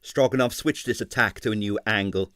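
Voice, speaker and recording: A man reading an abridged novel aloud with expressive narration. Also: fairly low pitch, brisk, dry recording, studio quality. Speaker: audiobook reader.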